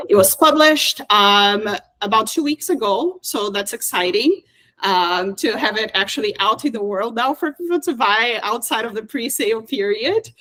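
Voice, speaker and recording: Woman author on an online panel talking about herself, mid pitch, kinda reflective and explaining stuff. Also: a bit bright, low-quality recording. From Brazil or United States (Brazilian American). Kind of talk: personal introduction on a panel. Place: video call.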